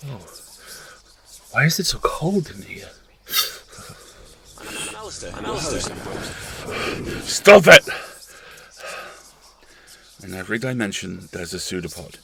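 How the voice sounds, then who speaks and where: A man's voice: fairly low pitch, very animated, measured pace, creaky, pauses often, fairly bright tone, full character voice. A man playing an anguished captive storyteller in a theatrical audio horror monologue, moving between muttering and outcry. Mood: pained, confused. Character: desperate, anguished captive storyteller trapped by a witch.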